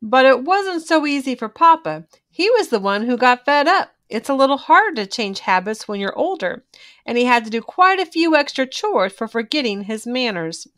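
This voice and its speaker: A woman reading a children's storybook aloud in an expressive, emphatic way, drawing out key words. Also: high voice, normal speed. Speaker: children's book reader.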